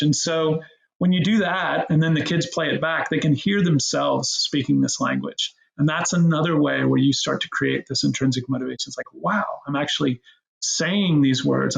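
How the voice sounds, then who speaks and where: A middle-aged man in his forties, a guest co-founder talking casually in an interview, rambling and animated with many restarts. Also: a little high, gravelly, reverberant, rough recording. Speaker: guest, co-founder of a language app.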